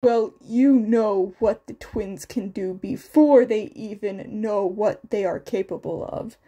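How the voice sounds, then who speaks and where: A woman's voice: mid-range voice, slow, dark tone. A woman voicing a matter-of-fact male police detective with an understated, reasoning manner, in an audio fan fiction reading.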